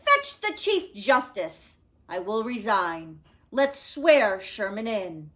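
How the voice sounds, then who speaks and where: A woman voicing a pompous, exasperated male president in a children's storybook, shouting with indignant, oratorical flourish. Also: mid-range voice, very animated, slow pace, pitched below the performer's own voice. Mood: resigned. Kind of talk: children's storybook read-aloud.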